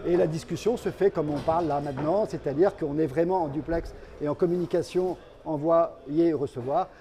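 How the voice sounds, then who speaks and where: A male company representative with a soft, mellow tone set a little high. He explains products as an interview guest, feeling his way through sentences and often repeating a word. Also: brisk.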